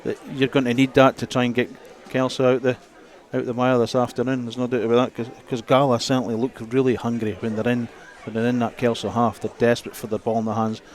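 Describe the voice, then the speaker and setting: A man's voice: mid-range voice, little pitch movement, fast, few pauses, some chatter behind. A man giving conversational analysis as co-commentator on a live rugby broadcast, outdoors at the ground.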